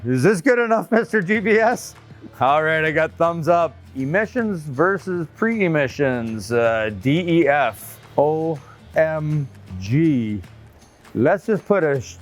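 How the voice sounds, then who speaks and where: A middle-aged man hosting a trucking video, talking casually to the camera outdoors in a folksy, upbeat way. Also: mid-range voice. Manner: folksy and chatty, upbeat asides; nervous on camera. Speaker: trucking channel host.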